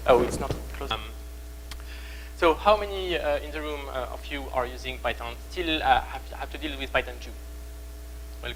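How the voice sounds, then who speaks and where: A young man with a strong French accent giving a conference talk on stage, speaking haltingly with many fillers and restarts. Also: mid-range voice, fairly bright tone, slight background noise, low-quality recording. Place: conference room.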